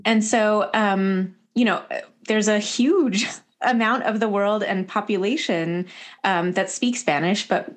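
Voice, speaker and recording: A woman's voice: medium pitch, slow pace. A woman hosting a podcast, asking long, meandering questions full of ums and restarts. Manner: rambling, restarts, many ums. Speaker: podcast host. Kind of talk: conversational interview questions.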